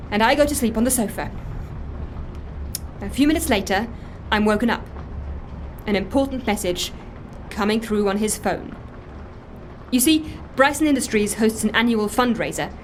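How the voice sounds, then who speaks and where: A woman's voice: medium pitch, animated, moderate pace, pauses often, a little hiss or hum, close to an everyday voice. An actress playing an anxious, self-justifying woman in an audio drama, telling her story in a fussy, emphatic, defensive way. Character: anxious, self-justifying woman confessing impersonation, earnest dog lover. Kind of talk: audio drama dialogue, first-person confession.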